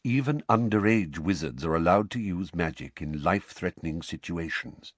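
A man voicing a calm, gentle adult wizard in an audiobook, speaking quietly and reassuringly. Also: low voice, normal speed, dry recording.

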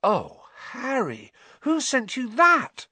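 A man voicing a cautious, prim teenage girl witch in a storybook, shrill, careful and questioning. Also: big pitch swings, moderate pace, a bit bright, no echo, heavily acted. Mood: stunned, suspicious.

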